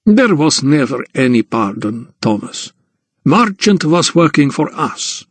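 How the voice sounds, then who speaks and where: A man voicing a tiny, smiling count, a sly and unhurried betrayer, in an audiobook. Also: mid-range voice, sing-song, breathy edge, no room sound, heavily acted. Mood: matter-of-fact.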